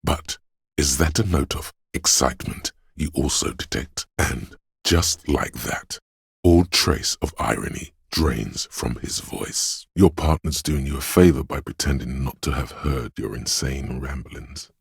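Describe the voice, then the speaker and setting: Very deep, grave, compassionate inner voice. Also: male voice, measured pace, creaky, breathy edge, dry recording, studio quality, heavily acted. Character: male inner voice of empathy, grave, compassionate, perceptive. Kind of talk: dramatic game narration, second person.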